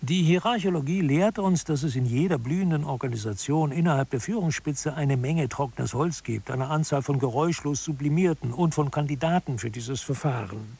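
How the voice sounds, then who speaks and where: A self-assured expert of absurd office science in a radio play. The voice is fairly high for a man and noticeably husky. He explains everything gravely, like a mock scholar giving instruction. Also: fairly quick, few pauses, a little mellow. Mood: didactic, assured. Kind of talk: scripted audio drama lecture.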